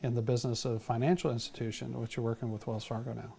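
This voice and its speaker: A man's voice: medium pitch, little pitch movement, quick pace, vocal fry, warm tone, a little hiss or hum. A man hosting a business talk show, chatting easily with his guest and reading out show announcements.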